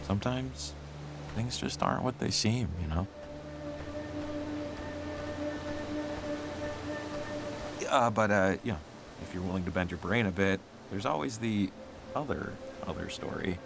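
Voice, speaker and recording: Game role: talkative young guy, low and animated, casual rambler, goofy believer type. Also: normal speed, vocal fry, background noise. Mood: musing. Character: chatty, excitable young man, goofy local believer in ghost stories. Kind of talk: naturalistic video game dialogue.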